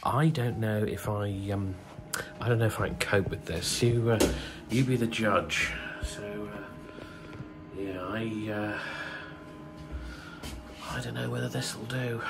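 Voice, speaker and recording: A man with a cold, talking quietly in a hotel room in a casual vlog update, with many ums and hesitations. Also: lowish voice, takes it slowly, gravelly, long pauses, loud music behind the voice, rough recording. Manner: speaks softly, hushed, many ums. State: bunged up with a cold. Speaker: vlogger.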